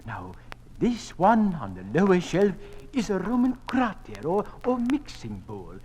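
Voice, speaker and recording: A fussy, fluttering museum curator. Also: male voice, high-pitched, huge pitch swings, a little slow, a little mellow, a little hiss or hum, heavily acted. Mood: eager, flustered. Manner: hesitant, stammering, genteel. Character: fussy, timid, flustered male museum curator. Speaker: actor. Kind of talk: 1950s radio drama acting.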